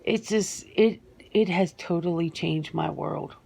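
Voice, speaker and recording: Woman doing a sentimental lady werewolf in an asmr interview, low slow voice, halting, bit reluctant. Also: creaky, a little mellow, husky, heavily acted. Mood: troubled, distressed. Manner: hesitant, halting, with repeated words. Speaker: ASMR roleplay performer. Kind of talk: soft-spoken ASMR roleplay interview.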